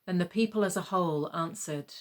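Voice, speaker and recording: Low-pitched woman narrating scripture. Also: brisk. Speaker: gospel narrator.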